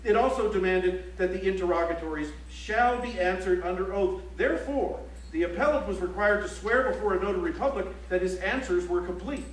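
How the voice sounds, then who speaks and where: A man playing a grave, pointed political chronicler, reciting formally on stage in a measured, emphatic way. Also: high-pitched, lightly acted. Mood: stern, formal. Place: library auditorium stage. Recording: echoey auditorium, distant mic, faint hum.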